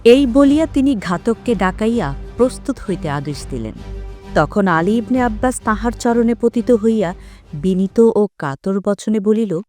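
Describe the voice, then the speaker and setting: A woman narrating a literary story for an audio drama in a formal, measured way. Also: medium pitch, lively intonation, darkish tone, faint music behind.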